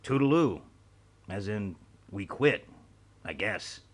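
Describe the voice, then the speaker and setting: A man's voice: lowish voice, lively intonation, takes it slowly, slightly rough, full character voice. A man voicing a weary, blunt male agent who talks in clipped wisecracks. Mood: wry.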